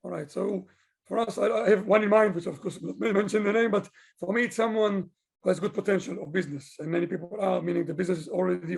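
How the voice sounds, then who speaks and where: Male interviewee from Israel, business consultant, husky with creaky edges, talkative and explanatory. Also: sits high, darkish tone. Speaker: business owner, digital consultant being interviewed. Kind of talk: interview answers, conversational.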